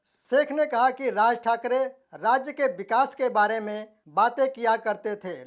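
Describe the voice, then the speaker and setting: A news presenter reading a scripted report in a formal, measured way, phrase by phrase. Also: medium pitch.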